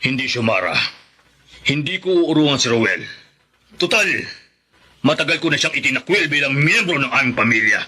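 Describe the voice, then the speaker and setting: A man's voice: mid-range voice, expressive, moderate pace, long pauses, pushed and bright, breathy edge, full character voice. A man acting a jealous, stubborn old grandfather and ex-governor in a Filipino radio drama, with an indignant, emphatic delivery. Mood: vengeful, scheming.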